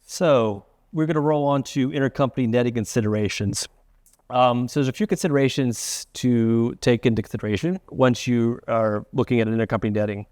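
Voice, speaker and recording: A man's voice: medium pitch, steady tone, fairly quick, darkish tone, dry recording, clean studio sound. A man presenting in an explanatory but conversational way, often checking in with the listener. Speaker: webinar presenter.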